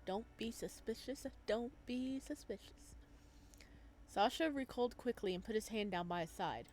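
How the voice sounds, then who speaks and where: A woman hosting a gaming video, chatting casually and reading game text aloud, moving between calm narration and excitable, playful reactions. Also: fairly high pitch, normal speed, slight background noise, rough recording.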